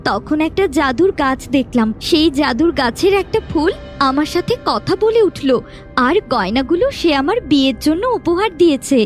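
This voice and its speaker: Woman as an innocent young cartoon girl, high voice. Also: animated, normal speed, faint music behind. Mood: pleading. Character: innocent, wronged young village girl, earnest and tearful. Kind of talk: Bangla fairy-tale cartoon dubbing.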